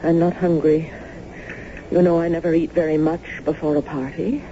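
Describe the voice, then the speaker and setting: A woman's voice: deep voice, little pitch movement, moderate pace, dark tone, close to an everyday voice. An actress playing a genteel, eccentric lady landowner in a radio drama, speaking with effusive, old-fashioned formality. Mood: cool, insistent. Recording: dull, noisy old radio broadcast.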